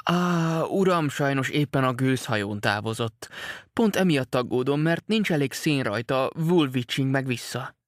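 A man's voice: mid-range voice, fairly bright tone, no echo, clean studio sound, lightly acted. A man voicing a worried, talkative boatman's wife, rambling on about her absent husband in an anxious, chattering way.

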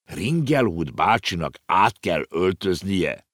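A man voicing an eager, curious young boy who talks in excited exclamations in a storybook reading. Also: sits low, no room sound, clean studio sound, exaggerated voice. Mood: puzzled.

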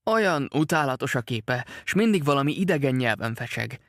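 A man's voice: medium pitch, expressive, fairly bright tone, no room sound, studio quality. A man voicing a worried, talkative boatman's wife, rambling on about her absent husband in an anxious, chattering way. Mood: fretful chatter.

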